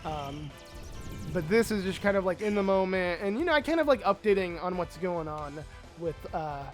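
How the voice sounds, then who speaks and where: High-voiced man with a hoarse voice, excitable. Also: normal speed, soft background music. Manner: excitable, rambling with many fillers. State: sick, slightly hoarse voice. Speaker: let's play host. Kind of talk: casual gameplay commentary.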